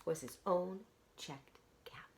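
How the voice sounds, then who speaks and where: A woman reading a picture book aloud to young children like a teacher, dramatically, dropping into hushed whispers and coaxing listeners with questions. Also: lowish voice, slow pace, gravelly.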